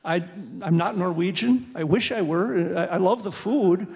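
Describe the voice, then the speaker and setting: A man's voice: high voice, moderate pace, vocal fry, a little mellow. A man in his sixties joking with a concert audience from the piano, folksy and full of fillers. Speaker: singer and entertainer. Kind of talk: chatty concert patter between songs. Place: church sanctuary, indoors. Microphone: stand mic at the piano. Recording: long church reverb, piano behind.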